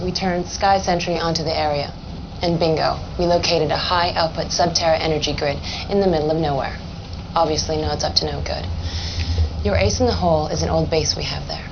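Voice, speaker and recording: A woman playing a confident, efficient army intelligence lieutenant in a game cutscene, assured and businesslike. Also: sits low. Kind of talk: video game cutscene acting. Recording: dull audio, clear background noise.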